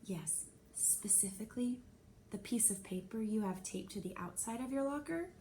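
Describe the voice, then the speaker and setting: A woman's voice: medium pitch, animated, dark tone, a little hiss or hum, lightly acted. Woman reading as a stern, condescending school principal. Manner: measured, pompous, deliberate. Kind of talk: children's novel read-aloud.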